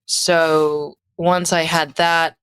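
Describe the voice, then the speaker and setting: A woman's voice: sits low, little pitch movement, extremely slow, fairly bright tone, clear, resonant tone, no echo. A woman in her thirties with an Australian accent, chatting casually as a podcast guest and laughing often. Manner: chatty, laughs often, restarts mid-sentence. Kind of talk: casual conversation.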